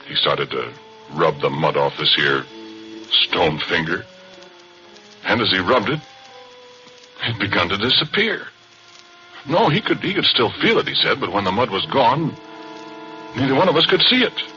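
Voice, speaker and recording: An actor playing a spooked, plain-spoken oil-field worker in a 1940s radio drama, speaking folksy and rough. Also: male voice, fairly low pitch, animated, normal speed, pauses often, pushed and bright, slight background noise. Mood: haunted, uneasy. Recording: dull 1940s radio broadcast. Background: music.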